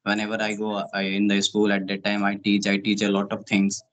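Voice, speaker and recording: A man's voice: on the low side, monotone, a little fast, a bit bright. A male teacher, not a native English speaker, chatting casually and repeating points as he talks. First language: Hindi. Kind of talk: casual conversation.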